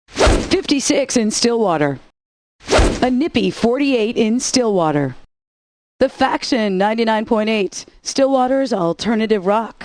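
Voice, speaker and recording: A woman's voice: on the high side, a little mellow, dry recording. A woman playing a breezy female rock radio DJ, reading scripted station lines with a wry, playful announcer delivery.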